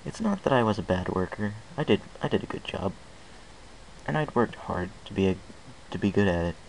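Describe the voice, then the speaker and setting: A man's voice: lowish voice, animated, fairly quick, vocal fry, slight background noise, low-quality recording. A man reading a fan fiction story aloud in the first person, in an earnest, plain way with small restarts.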